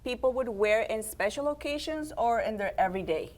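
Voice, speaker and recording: A woman's voice: on the high side, animated, brisk, darkish tone. A young adult woman hosting a community TV interview, friendly and upbeat, guiding her guests with questions. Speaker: host and program organizer.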